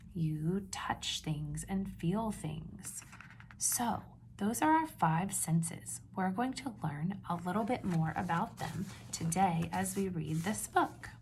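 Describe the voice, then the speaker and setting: A woman's voice: fairly low pitch, animated, a little mellow, slight background noise. A woman reading a picture book aloud to young children like a teacher, asking simple questions and stressing key words. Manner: instructive, asks simple questions and pauses before confirming answers.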